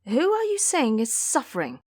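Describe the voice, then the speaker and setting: A woman voicing a forgiving male monk master in an audio storybook, earnest, measured and reasoning. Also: sits high, huge pitch swings, a little mellow, pitched above the performer's own voice, heavily acted. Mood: concerned.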